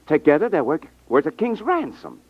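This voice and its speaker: A man's voice: fairly high pitch, huge pitch swings, normal speed, husky, dry recording, heavily acted. A man acting in a 1950s radio drama as a brash, pushy, slangy salesman feigning honesty. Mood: excited scheming. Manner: slangy, insistent. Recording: muffled 1950s radio recording.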